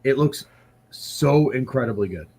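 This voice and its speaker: A man in his twenties hosting a casual chat, excitable and quick, swearing freely. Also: mid-range voice, creaky, husky. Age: 20s. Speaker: podcast host.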